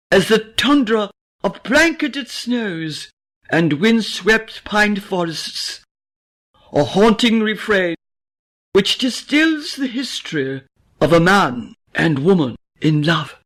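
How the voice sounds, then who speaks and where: Woman poet for verse recitation: slow, declamatory delivery with wide swings in pitch. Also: a bit bright. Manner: dramatic, declamatory, measured short phrases with emphatic stresses. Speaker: poet reading own poetry. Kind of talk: poetry recitation.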